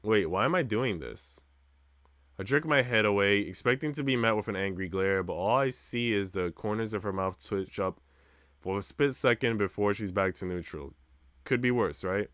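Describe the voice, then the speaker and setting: A man's voice: fairly low pitch, moderate pace, fairly bright tone, dry recording, clean studio sound. A man streaming a visual novel, reading the story narration expressively with casual asides.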